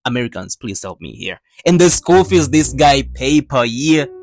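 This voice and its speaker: A man's voice: mid-range voice, sing-song, moderate pace. A young man from Tanzania, narrating casually in a slangy, joking, conversational way. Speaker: YouTube storyteller. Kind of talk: casual scripted narration for animated video. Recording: background music under the voice. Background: music.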